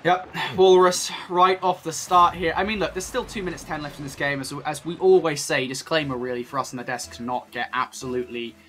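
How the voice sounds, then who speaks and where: A British man commentating a high school esports match, chatty with jokey asides. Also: brisk, few pauses, fairly bright tone. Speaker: esports caster.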